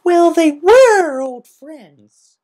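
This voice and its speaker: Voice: extremely high pitch, lively intonation, extremely slow, mellow, voice pushed up, heavily acted. A performer voicing a hyper, cheerful young female cartoon pony with a bouncy manner. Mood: curious. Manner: exclaiming, bouncy.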